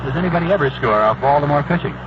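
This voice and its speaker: Baseball announcer on dull, noisy old radio. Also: male voice, a little high, lively intonation, racing. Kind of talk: live baseball radio commentary. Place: stadium broadcast booth.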